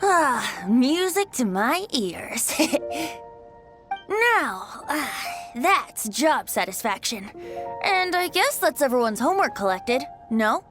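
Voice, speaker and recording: A woman's voice: sits high, very animated, slow, full character voice. A woman voicing a playful, teasing young male bard in a video game, with a cheeky, theatrical manner. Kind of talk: animated video game acting. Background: music.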